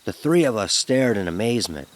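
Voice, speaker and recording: Animated man narrating a horror story. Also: lowish voice, brisk, dry recording. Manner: expressive, acts out dialogue. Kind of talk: dramatic first-person audio horror storytelling.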